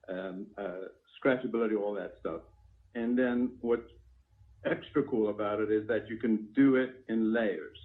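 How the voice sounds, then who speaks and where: A man informally explaining his products on a live call, in a technical, step-by-step way, as their inventor. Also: medium pitch. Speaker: product inventor and company founder. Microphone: phone call. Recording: narrow tinny phone line.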